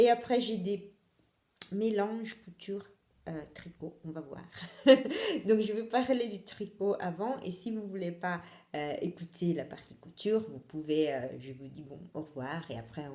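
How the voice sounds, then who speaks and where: A woman's voice: mid-range voice, warm tone, echoey room. A young woman from Italy with an Italian accent, hosting a casual knitting podcast in French, hesitant with many fillers and self-corrections.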